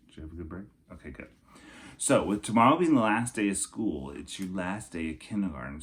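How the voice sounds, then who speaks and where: A man's voice: low-pitched, fairly bright tone, a little hiss or hum. A man reading a storybook aloud as a teacher, expressively, speaking directly to young children.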